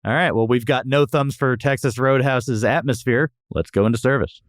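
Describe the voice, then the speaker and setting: A man's voice: medium pitch, fairly even intonation, normal speed, slight background noise. A man hosting a casual comedy review podcast with playful, mock-strict banter. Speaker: podcast host.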